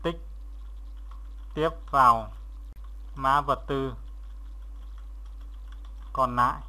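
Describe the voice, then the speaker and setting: A man narrating a step-by-step software tutorial as an instructor, in a halting, instructional way, repeating steps as he goes. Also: mid-range voice, fairly even intonation.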